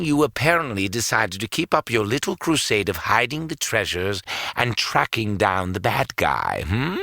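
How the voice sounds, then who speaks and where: A man's voice: medium pitch, pushed and bright, no echo, clean studio sound, heavily acted. An actor playing a sneering, cynical male villain in an audio drama, with a mocking, condescending, theatrical manner.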